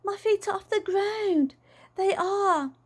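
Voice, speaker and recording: A woman puts on a giddy middle-aged father in a children's storybook, a reckless dreamer sure he can fly. His voice jumps up high as he shouts, brags and yelps, loud and excitable. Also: measured pace.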